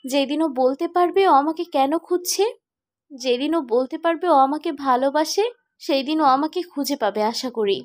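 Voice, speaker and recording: A woman playing a hurt, stubborn young woman in love in an animated drama. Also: high-pitched, moderate pace, natural voice. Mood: hurt, resolute. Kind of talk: animated romantic drama dialogue.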